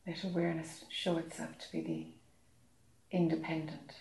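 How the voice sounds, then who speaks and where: A woman leading a guided meditation, speaking slowly and softly with long pauses. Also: deep voice, steady tone, gravelly. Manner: slow, soft-spoken, long pauses. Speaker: meditation teacher. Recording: echoey room.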